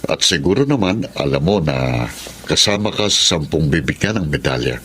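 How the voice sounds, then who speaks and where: Deep-voiced, dignified college dean. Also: male voice, big pitch swings, fairly bright tone, slight background noise, exaggerated voice. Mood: kindly. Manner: formal, measured, avuncular. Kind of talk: radio drama acting.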